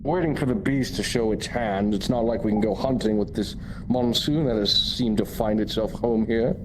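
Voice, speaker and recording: A man's voice: on the low side, moderate pace, background noise, close to an everyday voice. A man playing a grave war preacher in a fantasy abbey roleplay, speaking in formal, sermonizing language over live online voice chat. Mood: dry, grave. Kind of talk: improvised fantasy roleplay, archaic formal speech.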